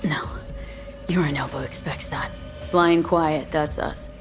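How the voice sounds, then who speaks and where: A woman's voice: sits low, steady tone, unhurried, over music. A woman voicing a cocky, streetwise mercenary in a cyberpunk video game, terse and sardonic. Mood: cool, sly.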